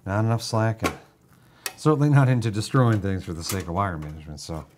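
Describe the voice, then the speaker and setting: A man's voice: fairly low pitch, fairly quick, noisy background. A man building a gaming computer on a live stream, joking dryly with his co-host. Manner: teasing, dry humor. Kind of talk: casual live-stream banter.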